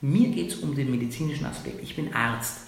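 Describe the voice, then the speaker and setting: A man from Iran who is a doctor, arguing emphatically as an interview guest, often ending points with a quick tag question. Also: mid-range voice, creaky. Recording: very echoey room.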